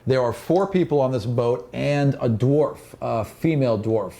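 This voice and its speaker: Male game master, mid-pitched and mellow, narrating fantasy scenes descriptively with offhand asides. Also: a little slow, vocal fry.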